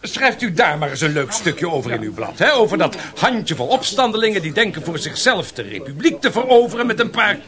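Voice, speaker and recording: Reactionary gentleman, pompous, declamatory, animated pitch, chattering company behind, radio drama. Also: brisk, hardly any pauses, crowd noise. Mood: scornful, amused. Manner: pompous, declamatory, chuckling scorn.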